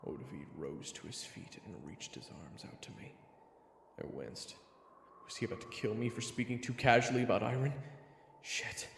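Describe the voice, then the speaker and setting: Echoing, animated young hero played by a man. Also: fairly low pitch, normal speed, gravelly, a little hiss or hum. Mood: uneasy narration. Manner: earnest, easily flustered. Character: flustered, timid young man, narrating fantasy hero. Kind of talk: first-person narration and audio drama acting. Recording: echoing hall reverb, music behind.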